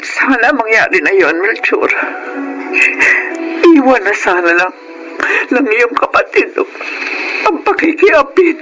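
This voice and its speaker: A woman's voice: on the high side, very animated, slow, long pauses, over loud music, rough recording. A woman acting a worried, well-meaning middle-aged mother in a Filipino radio drama, earnest and moralizing. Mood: hopeful, sad.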